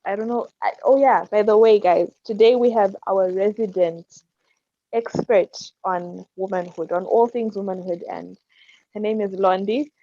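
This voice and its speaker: A woman's voice: mid-range voice, moderate pace, mellow, no room sound. A woman hosting an online panel chat, friendly and a little teasing, with some hesitant ums. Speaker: podcast host. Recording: dull lo-fi dry call audio.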